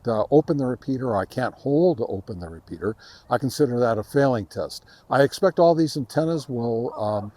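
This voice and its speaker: Halting man talking through a field test. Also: mid-range voice, darkish tone. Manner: halting, hesitant delivery. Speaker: host of a radio gear review channel. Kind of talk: casual field-test commentary. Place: outdoors in a park.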